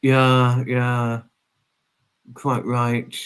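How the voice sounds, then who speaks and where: This is a man in his twenties hosting a casual livestream. He greets and answers viewers with effusive, old-fashioned politeness. His phrasing is wordy and formal, and he tends to circle back and repeat what he has just said. His pitch sits in an ordinary middle range for a man. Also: completely flat delivery, drawn-out words, fairly bright tone, clean tone. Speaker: livestream host.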